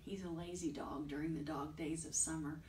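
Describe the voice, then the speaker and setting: A middle-aged American woman talking and reading aloud to small children at storytime, in simple, expressive language. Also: deep voice, fairly quick, echoey room, distant mic, background noise. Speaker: preschool storytime librarian. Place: small indoor room. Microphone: camera a few feet away.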